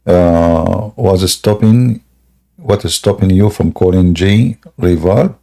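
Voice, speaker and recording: A man hosting a live debate stream in a scoffing, jokey way, laughing often and clearing a sore throat. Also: low voice, takes it slowly, warm tone. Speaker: livestream debate host. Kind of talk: mocking live debate chat.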